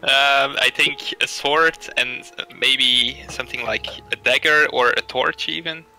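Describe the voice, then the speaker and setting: A man's voice: mid-range voice, fairly even intonation, measured pace, creaky, sharp tone, soft background music, low-quality recording. A man co-hosting a casual gaming podcast, giving short, somewhat hesitant replies. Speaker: podcast co-host.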